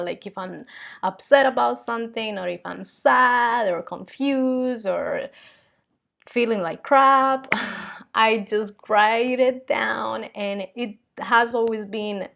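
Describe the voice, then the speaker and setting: A woman in her thirties from Colombia with a Spanish accent, talking casually and haltingly to her vlog audience with many fillers. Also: a little high, vocal fry. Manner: soft-spoken, halting, with frequent fillers and little laughs. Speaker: spiritual vlogger. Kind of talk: casual vlog talk to camera.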